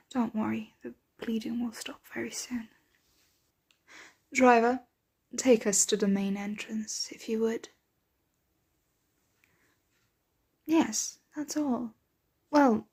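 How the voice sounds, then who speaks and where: A woman playing a doting, genteel vampire mistress in an ASMR roleplay, speaking softly and breathily in a soothing, possessive, coaxing manner. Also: a little high, creaky, slightly rough, exaggerated voice. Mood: reassuring, teasing. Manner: slow, coaxing, whispery soft-spoken delivery. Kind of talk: ASMR roleplay, soft intimate close speech.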